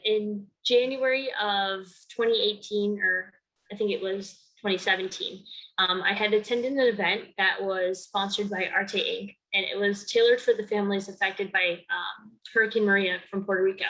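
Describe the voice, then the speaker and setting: A young woman of about nineteen from Connecticut, whose first language is Spanish, speaking to the camera in an earnest, measured way as a youth program founder. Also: medium pitch, fairly even intonation, a bit bright, low-quality recording. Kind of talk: recorded award-video talk to camera.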